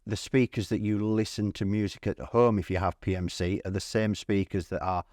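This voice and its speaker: A man's voice: on the low side, level tone, normal speed, darkish tone, dry recording, studio quality. A man interviewing in a casual, informal chat, repeating words as he frames his thoughts and questions. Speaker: interviewer for a hi-fi channel. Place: at a hi-fi trade show.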